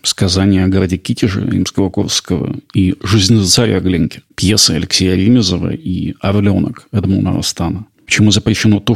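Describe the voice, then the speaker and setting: A man's voice: deep voice, creaky, breathy edge. A man hosting a history podcast, narrating in an explanatory way with rhetorical questions. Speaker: podcast host.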